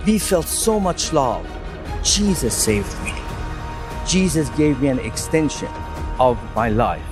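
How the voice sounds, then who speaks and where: Man, slow fervent testimony, halting, music underneath. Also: medium pitch, animated. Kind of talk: religious testimony.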